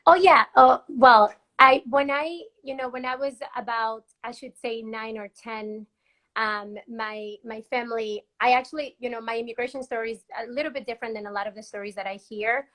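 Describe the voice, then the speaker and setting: A woman's voice: a little high, fairly even intonation, normal speed, fairly bright tone. A young woman from Peru, a guest in a casual video-call interview, chatty with lots of fillers and laughs. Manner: chatty, laughs often, many fillers and restarts.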